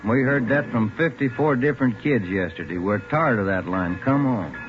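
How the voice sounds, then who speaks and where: An actor playing a plain-spoken, wry police detective, the watchful partner in an old radio crime drama. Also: male voice, mid-range voice, moderate pace, lightly acted. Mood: firm, sardonic. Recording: muffled old radio broadcast with music.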